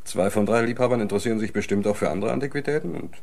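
An actor playing a musing senior detective in charge in a German radio crime play, terse and deliberating. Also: male voice, lowish voice, rapid-fire, creaky, a little mellow, slight background noise.

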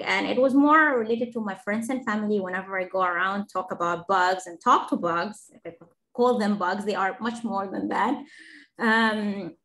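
A woman's voice: medium pitch, fairly bright tone, low-quality recording. A woman from Egypt, an engineering professor, giving a webinar talk and answering questions in an earnest, explanatory way, with fillers and restarts. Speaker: engineering professor, guest speaker.

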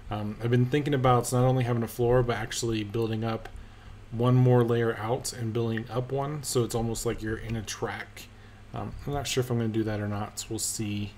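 A man narrating gameplay as a let's play host, relaxed and thinking aloud with many pauses and ums. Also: on the low side, slight background noise. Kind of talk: casual game commentary. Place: at a computer, voice-over of gameplay.

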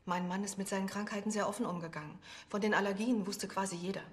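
An actress playing a polite, strained widow answering police questions. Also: female voice, mid-range voice, steady tone, fast talker, lightly acted. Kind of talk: TV crime drama acting.

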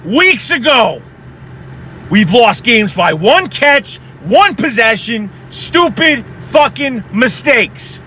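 A young man with a very high, sharp, bright voice, gone hoarse from yelling. He rants fast and profanely, heated and excited, talking close into a phone in his car at night with faint traffic noise outside. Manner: excited, profane, rapid-fire ranting. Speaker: sports fan vlogger. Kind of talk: heated post-game fan rant.

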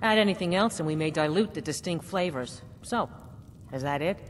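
An eager castle chef, reverberant hall. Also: female voice, deep voice, animated, moderate pace, slight background noise. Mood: deferential, unsure. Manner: hesitant, gushing, formal. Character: eager, fawning female castle chef, nervous and deferential. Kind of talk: fantasy video game dialogue. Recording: hall reverb under game music.

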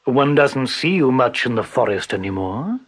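A man voicing a sly, purring tiger, low and slowly whispered, with a husky edge. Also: very animated.

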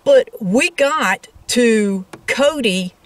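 A woman's voice: fairly high pitch, expressive, drawn-out words, recorded from a distance. A woman co-hosting a travel vlog, explaining things in casual, conversational talk addressed straight to viewers. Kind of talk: casual explanatory chat to camera.

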